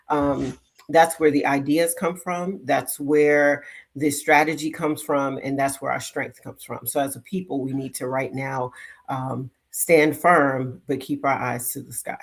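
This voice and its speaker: Low-key middle-aged woman giving reflective answers. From born in Jamaica, raised in Brooklyn, United States. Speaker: guest, life coach and educator. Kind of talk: conversational interview answers.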